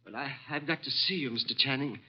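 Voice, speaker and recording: An actor playing an agitated middle-aged office employee, halting and pleading, in 1940s radio style. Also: male voice, medium pitch, breathy edge, natural voice. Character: desperate, pleading male office employee of long service. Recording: muffled, noisy vintage radio recording.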